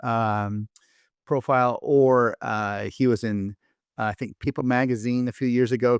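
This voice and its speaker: A man's voice: mid-range voice, smooth, no room sound, studio quality. A man hosting a podcast, introducing his co-host and guest in a friendly, rambling way with many restarts.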